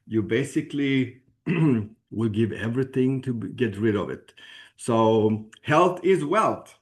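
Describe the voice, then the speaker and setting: A man from Sweden with a Swedish accent gives an informal promotional presentation, haltingly searching for words and clearing his throat. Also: medium pitch. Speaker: presenter at a business opportunity webinar.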